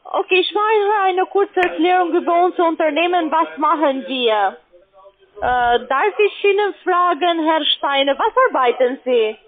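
A woman who is not a native speaker works through a formal, stilted sales script. Her voice is high, and it comes through a narrow, muffled telephone line. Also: measured pace. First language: not German. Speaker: call center trading agent.

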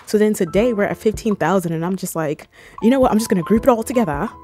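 A woman's voice: mid-range voice, fast talker, over music. A woman in her twenties from Cameroon, chatting playfully to camera, holding a close mic in a small room. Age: 20s. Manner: playful, animated, jokey with squealed asides. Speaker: YouTuber. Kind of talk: casual chat to camera. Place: small room with red lighting, indoors. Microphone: handheld mic close to the mouth.

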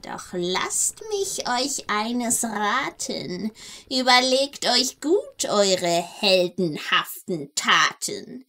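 A woman playing a mysterious, courteous witch who speaks in drawn-out theatrical rhymes in a children's audio drama. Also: medium pitch, very animated, pushed and bright, full character voice. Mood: ominous.

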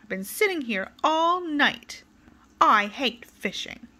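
A woman voicing a grouchy grown-up male storybook character who moans and complains loudly. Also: sits high, big pitch swings, slow pace, voice pushed up, full character voice. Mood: grumbling. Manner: grumbling, loud and cross.

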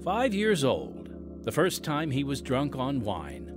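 A man's voice: medium pitch, huge pitch swings, slow pace. A man hosting as announcer, reading dramatic scripted radio narration with folksy, emphatic showmanship. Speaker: announcer and host. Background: music.

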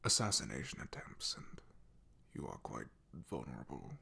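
A man playing an awkward, loyal knight in a soft ASMR fantasy roleplay, half-whispering in a breathy, gravelly voice with a hesitant, apologetic, deferential manner. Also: a bass voice, very animated, heavily acted. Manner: hesitant, apologetic, halting with throat clearing.

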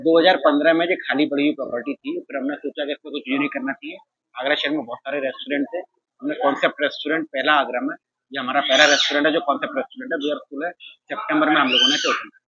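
A man in his forties, a restaurant owner, answering interview questions in a quick, matter-of-fact way inside his restaurant into a handheld mic. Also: a little high, fairly even intonation. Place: indoor restaurant. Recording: dull, lo-fi sound.

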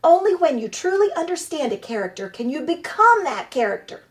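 A woman's voice: sits high, sing-song, fairly quick, pitched above the performer's own voice. A woman voicing a dramatic, show-off female opossum who gushes about acting. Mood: theatrical. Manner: gushing, theatrical.

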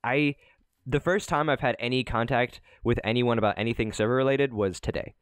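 A man's voice: mid-range voice, a little fast, clean tone, no room sound. A young man hosting a gaming channel, chatting casually and rambling while he plays with friends, often laughing. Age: young adult. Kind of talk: casual gameplay commentary.

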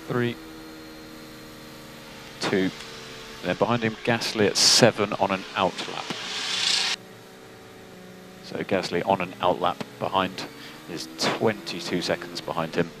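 A man working as a race engineer, giving calm, clipped technical instructions over team radio. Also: low voice. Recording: noisy team radio, engine noise behind.

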